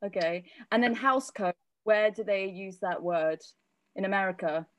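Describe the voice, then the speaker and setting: A woman's voice: medium pitch, moderate pace, dry recording. An English woman chatting casually, enthusiastic and full of fillers. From England. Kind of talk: casual chat.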